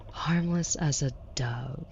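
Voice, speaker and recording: Woman acting as haughty female demon, low-pitched, mock-sweet, predatory, condescending, intimate audio roleplay, slightly dull recording with background noise. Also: slow, gravelly, a little mellow, exaggerated voice.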